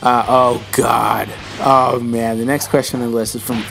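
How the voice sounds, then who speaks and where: A man's voice: mid-range voice, little pitch movement, a little slow, vocal fry, a bit bright, soft background music. A young man answering viewer questions in a casual vlog, rambling and slangy and calling viewers bro, with his voice starting to go. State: losing his voice.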